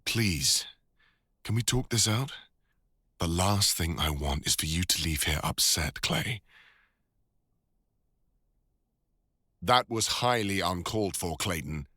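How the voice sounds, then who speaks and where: A man with a deep British voice playing a devoted, teasing older boyfriend and father in an intimate ASMR audio roleplay. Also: expressive, unhurried, pushed and bright, no room sound, studio quality, heavily acted. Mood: upset, pleading.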